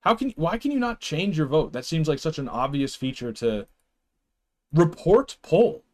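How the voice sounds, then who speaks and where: A young man with a slightly higher voice streams and chats loosely with his viewers. He rambles, restarts sentences and drops in small chuckles. His talk is casual and unscripted. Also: very animated, normal speed, darkish tone. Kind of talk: casual chat with live viewers.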